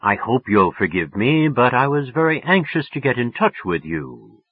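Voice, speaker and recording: A man voicing a teenage boy who deepens his voice and talks deliberately to pass as a suave, mature man. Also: very animated, husky, no room sound, phone-quality sound, exaggerated voice. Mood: suave, careful. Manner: slow, deliberate, falsely suave.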